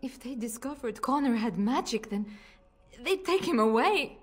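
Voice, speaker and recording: A woman's voice: a little high, expressive, moderate pace, slightly rough, soft background music. A woman playing an anguished, protective noble mother in a fantasy video game, pleading and emotional.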